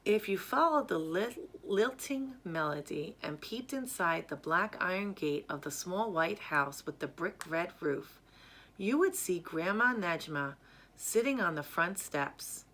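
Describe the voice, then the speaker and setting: Bright-voiced woman reading a children's story. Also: lowish voice, expressive, measured pace. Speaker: storyteller reading a picture book. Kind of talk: read-aloud children's story narration.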